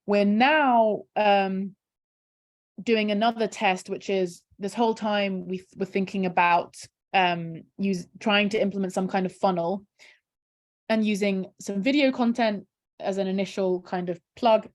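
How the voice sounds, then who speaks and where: A woman's voice: medium pitch, fairly even intonation, a little slow. Woman, charity campaigner, casual chat, lots of fillers, video call. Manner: chatty, many fillers like "um" and "kind of". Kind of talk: casual video call interview, screen-share walkthrough.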